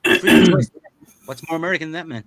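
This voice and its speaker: A man's voice: a little high, quick pace, fairly bright tone, low-quality recording. A man chatting casually as a co-host, with a blunt, sweary way of talking. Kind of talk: casual chat.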